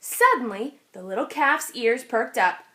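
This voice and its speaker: Animated woman reading a children's story. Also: a little high, measured pace. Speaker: read-aloud storyteller. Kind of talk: expressive children's picture book narration.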